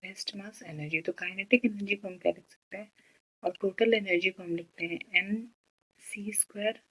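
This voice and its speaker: A woman's voice: medium pitch, rough recording. A woman with an Indian accent teaching physics as a tutor, explaining step by step and often posing questions that she then answers. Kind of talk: step-by-step problem explanation, Hindi mixed with English terms.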